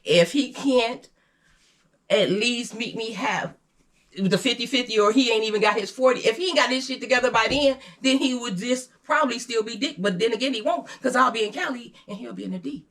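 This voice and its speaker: A woman's voice: on the high side, brisk, a bit bright. A woman in her fifties chatting casually and candidly, with a blunt, unapologetic and emphatic way of talking. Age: 50s.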